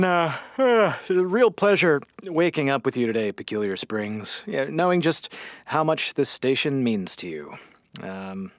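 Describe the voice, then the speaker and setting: An actor playing a weary, sarcastic small-town radio host with a halting, dry delivery in a comedy audio drama. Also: male voice, on the high side, dry recording. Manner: halting, dry, sardonic, awkward.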